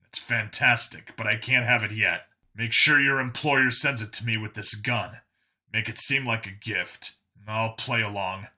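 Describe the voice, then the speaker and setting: A man voicing a hardened, grimly sardonic human lawman with a flat, blunt, deadpan manner in an audiobook story. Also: lowish voice, a little slow. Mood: brisk, businesslike.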